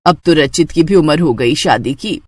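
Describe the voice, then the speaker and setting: A woman's voice: deep voice, lively intonation, moderate pace, no echo, clean studio sound, voice pushed down. A woman voicing a thrifty older mother-in-law in a moral story cartoon, watchful and fond of nagging household advice. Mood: motherly.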